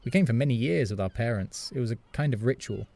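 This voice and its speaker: An actor playing a lonely, wistful man who narrates in the first person with a reflective, measured manner. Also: medium pitch, lively intonation, vocal fry, dark tone. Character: melancholy adult brother narrating, lonely and wistful.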